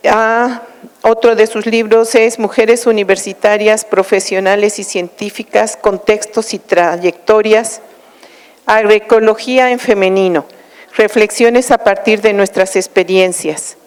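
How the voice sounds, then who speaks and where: A woman's voice: medium pitch, steady tone, measured pace, clear, resonant tone, in a large hall. A woman moderator formally introducing a guest speaker, reading out a list of titles. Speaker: event moderator.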